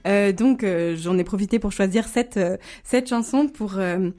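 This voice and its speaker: A woman's voice: medium pitch, measured pace, dark tone. A German-speaking woman co-hosting a radio segment, talking with many hesitations and fillers. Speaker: radio co-host. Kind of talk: casual radio segment intro.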